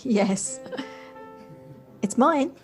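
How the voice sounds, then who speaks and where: A woman in an audio play acting an earnest, reflective woman with a halting, tentative delivery. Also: on the high side, animated. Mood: happy, tender. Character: earnest, hesitant woman coming home softened and reflective. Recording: home recording on personal device, music behind.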